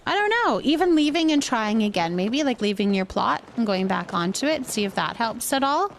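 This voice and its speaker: A woman's voice: on the high side, animated, brisk, a little hiss or hum. A woman hosting a livestream, chatting casually and thinking aloud as she plays a building game. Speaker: livestream gaming host. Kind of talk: casual chat while playing a building game.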